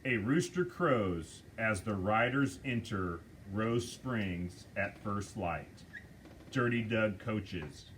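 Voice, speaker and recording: A man reading stage directions aloud at a script table reading, slow and measured. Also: on the low side, expressive, fairly bright tone, slight background noise.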